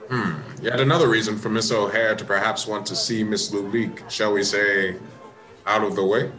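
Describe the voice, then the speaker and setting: A low-pitched American inspector acted by a man questions people in a measured, skeptical way. It is the style of a comic radio mystery, composed and probing. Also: steady tone, bright, forceful tone, faint music behind.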